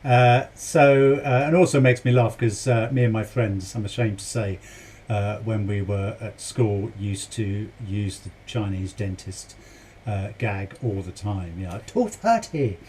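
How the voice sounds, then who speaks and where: A man's voice: lowish voice, a little slow, a little mellow, slight background noise. A British man hosting a reaction video, speaking casually and hesitantly with many fillers. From Britain. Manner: hesitant, lots of uh and um.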